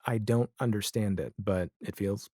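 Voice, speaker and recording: A man speaks in a low, dark-toned voice, recorded close and dry. He chats casually as an interview guest, rambling in an animated, self-deprecating way. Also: normal speed, slightly rough, no echo. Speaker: podcast guest, audio drama creator. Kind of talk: casual podcast interview chat.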